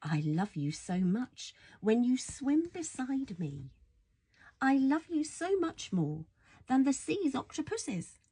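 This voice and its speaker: A woman reads a children's bedtime story aloud in a soft, husky voice, affectionate and playful, full of wonder. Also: high voice, very animated, slow pace. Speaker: storybook reader.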